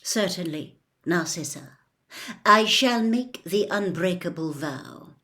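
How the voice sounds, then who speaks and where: A cold, secretive wizard, played by a woman reader from a storybook. He speaks low, hushed and slowly, with controlled, measured menace. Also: animated, heavily acted. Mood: quiet, solemn. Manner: quiet, slow, coldly measured. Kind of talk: audiobook character voice.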